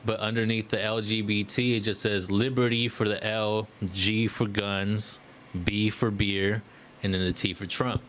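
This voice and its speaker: A man's voice: fairly low pitch, little pitch movement, a little slow, fairly bright tone, a little hiss or hum. A man chatting casually as a podcast co-host, slangy and profane, often tagging on "you know what I mean".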